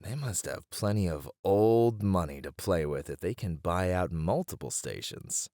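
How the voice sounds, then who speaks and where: Man acting flirty low-voiced hare-eared mob boss, swooping intonation. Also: animated, dark tone, no echo, clean studio sound. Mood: sly, casual. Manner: teasing, playful, drawn-out flirtatious delivery. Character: flirty, cheeky hare-eared male mafia boss, smooth charmer. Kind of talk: ASMR roleplay acting.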